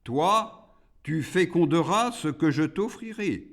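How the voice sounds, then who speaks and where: A man's voice: a little high, animated, slow, fairly bright tone, voice pushed up, exaggerated voice. A man voicing a proud, rebellious demon who makes disdainful, defiant demands in a declaimed storybook poem. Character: Iblis, proud rebellious male demon, monstrous forger.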